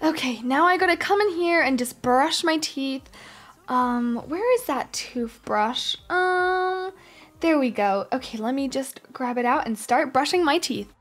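A woman's voice: high-pitched, measured pace, a bit bright, soft background music. A woman playing a spoiled rich schoolgirl and young mother in a game roleplay, sighing and complaining to herself. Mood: grumbling.

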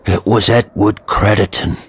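A man voicing a rough, shabby eel-catcher from the marsh with blunt, rustic phrasing in a horror story. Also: on the low side, steady tone, moderate pace. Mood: solemn.

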